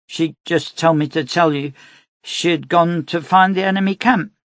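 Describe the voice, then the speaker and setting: A male narrator voicing an earnest, stubborn young girl in a storybook reading, pitched higher and pleading. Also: fairly even intonation, normal speed, a bit bright, no echo, clean studio sound, close to an everyday voice.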